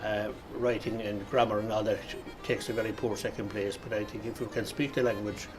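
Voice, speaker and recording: A middle-aged man giving a reflective interview, pausing and searching for his words. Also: on the low side, level tone, music in the background. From Ireland. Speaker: local interviewee, Irish speaker.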